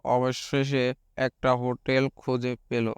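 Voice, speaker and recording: A man playing an old village grandfather who tells ghost stories in a cartoon, in an unhurried way. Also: mid-range voice, completely flat delivery, smooth, no echo. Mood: unhurried storytelling. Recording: noisy, with night crickets behind.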